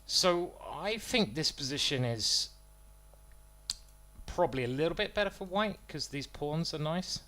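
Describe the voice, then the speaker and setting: A man's voice: fairly high pitch, animated, unhurried, pushed and bright. A man streaming live, commentating his own chess moves as he plays, thinking aloud and joking about his own bad play.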